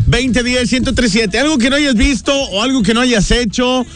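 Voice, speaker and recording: A man's voice: very high-pitched, normal speed, fairly bright tone. A man in his thirties with a northern Mexican accent hosting a radio show in slangy, exuberant casual talk, repeating phrases for emphasis. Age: 30s. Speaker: radio host. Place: radio studio. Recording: radio broadcast with music underneath.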